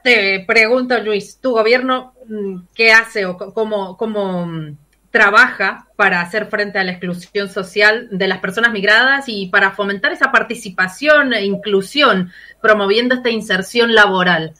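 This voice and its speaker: A woman's voice: medium pitch, moderate pace, bright, forceful tone. A woman with a Rioplatense accent, an interviewer asking long, polite questions while searching for words. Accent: Rioplatense Spanish.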